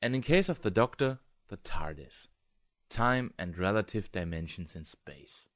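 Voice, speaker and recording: A man's voice: low-pitched, lively intonation, measured pace. A man narrating a scripted review in English as the host. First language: German.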